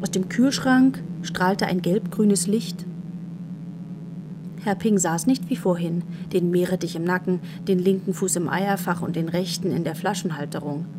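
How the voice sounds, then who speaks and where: A woman as the calm, measured, deadpan narrator of a German children's radio play. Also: on the low side, noisy background, lightly acted. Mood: calm, curious. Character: calm female storyteller of a children's tale. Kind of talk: scripted narration.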